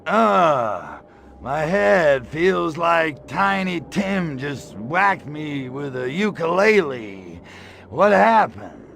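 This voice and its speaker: An actor playing a brash, lecherous alien invader in a video game, with a cocky, sarcastic, slangy delivery. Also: male voice, fairly high pitch, big pitch swings, extremely slow, creaky, a bit bright, slight background noise, heavily acted. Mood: groggy, indignant. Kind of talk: video game cutscene acting.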